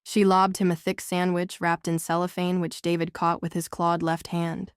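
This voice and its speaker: A woman's voice: lowish voice, level tone, moderate pace, smooth, dry recording, clean studio sound. A woman narrating a novel as a scripted audiobook.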